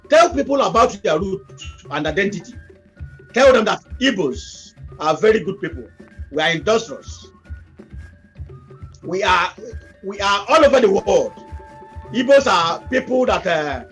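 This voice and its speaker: High-voiced man, earnest. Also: poor audio. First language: Igbo. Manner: earnest, repetitive exhortations. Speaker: interview guest. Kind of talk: remote interview. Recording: music behind.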